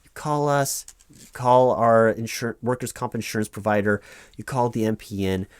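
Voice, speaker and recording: A man doing a spoken ASMR office roleplay as a claims administrator, reading forms aloud and explaining them in a halting, stammering, reassuringly repetitive way. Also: mid-range voice, clear, resonant tone, dry recording.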